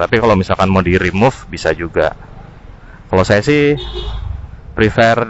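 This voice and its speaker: A man casually reviewing gear in an informal way, mixing in English terms, recorded on a helmet intercom mic while riding. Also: on the low side. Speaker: motovlogger. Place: outdoors, riding a scooter in city traffic. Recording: dull intercom audio with faint vehicle noise. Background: faint traffic and engine noise.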